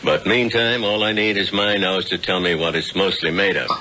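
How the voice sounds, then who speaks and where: An actor playing a hard-nosed police lieutenant, blunt and businesslike, in 1970s radio drama style. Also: male voice, low-pitched, little pitch movement, normal speed, close to an everyday voice. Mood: stern, determined. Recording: dull noisy old radio recording.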